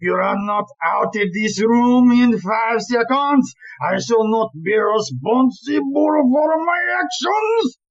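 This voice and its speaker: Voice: medium pitch, slow, hardly any pauses, lo-fi sound. An actor playing a hot-tempered, exasperated police chief inspector, barking and seething in a farcical audio play. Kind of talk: farcical audio drama acting.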